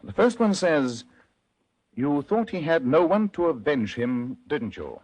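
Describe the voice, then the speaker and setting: A composed, brisk gentleman detective from old-time radio. His pitch swings widely as he reasons aloud in precise, theatrical phrases. The voice is a little rough-edged, with formal courtesy throughout. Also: very animated, rough recording. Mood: analytical. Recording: vintage radio drama recording.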